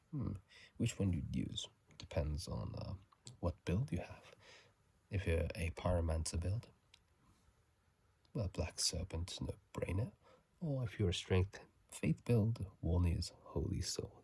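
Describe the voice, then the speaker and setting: Very low, breathy man murmuring ASMR. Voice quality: breathy, husky half-whisper. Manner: soft, soothing, unhurried murmur with repeated phrases. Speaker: host of a gaming ASMR video. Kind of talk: whispered ASMR game commentary. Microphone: phone microphone, close up.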